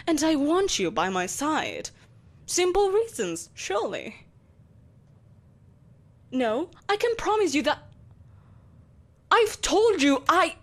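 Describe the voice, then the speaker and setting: A woman acts out an imperious, soulless empress in a scripted audio drama. Her voice sits fairly high and sweeps widely in pitch. She is possessive and menacing, with a haughty, theatrical delivery. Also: heavily acted. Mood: exasperated, insistent. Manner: haughty, theatrical, sighing exasperation, deliberate menace. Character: vengeful, possessive evil empress, soulless, imperious, coaxing a captive. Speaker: voice actor. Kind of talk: scripted audio roleplay acting.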